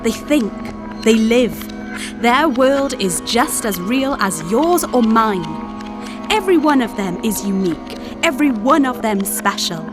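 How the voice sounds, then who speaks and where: A woman playing a gentle, protective therapist in an ASMR audio story, earnest and deliberate, with music behind her. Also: fairly high pitch, animated. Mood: earnest, defiant. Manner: soothing, earnest, deliberate with pauses. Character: gentle, protective female visualization therapist guarding imagined minds. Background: background music.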